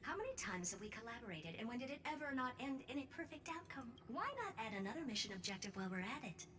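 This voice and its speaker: A woman's voice: on the high side, animated, fast, close to an everyday voice. A woman playing a self-assured, blunt genius scientist in a video game, airy and playfully condescending. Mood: dismissive, confident. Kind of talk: game character dialogue. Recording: music behind the voice.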